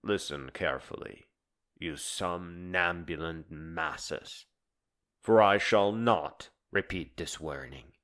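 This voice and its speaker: A man delivering a dramatized poetic monologue in a solemn, grandiloquent, theatrical manner. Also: fairly low pitch.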